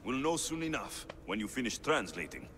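A man's voice: medium pitch, sing-song, fairly quick, pushed and bright, slight background noise. A man playing a practical ancient Greek mercenary hero in a video game cutscene, with a firm, direct, reassuring manner. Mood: matter-of-fact. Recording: long reverb, music behind.

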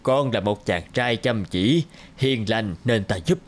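A man's voice: lowish voice, lively intonation, slow. A man voicing a kindly old mountain god in a fairy-tale cartoon, solemn and benevolent. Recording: music behind the voice.

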